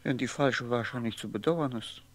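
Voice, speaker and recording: An actor in a 1960s German radio play, playing a lonely, evasive, brooding man with a hesitant, halting delivery. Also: mid-range voice, lightly acted, poor audio. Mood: bitterly brooding. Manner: hesitant, halting, self-questioning.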